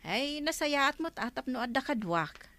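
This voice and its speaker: A woman's voice: sits high, sing-song, unhurried, bright, forceful tone, heavily acted. An actress with an Ilocano accent playing a kindly, helpful village councilwoman in a comedy drama. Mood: caring.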